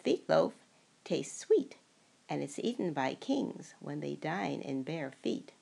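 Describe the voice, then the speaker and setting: A woman's voice: low voice, sing-song, takes it slowly, gravelly, dark tone, breathy edge, heavily acted. An older woman voicing a confident, know-it-all storybook cook who declares rhymes emphatically.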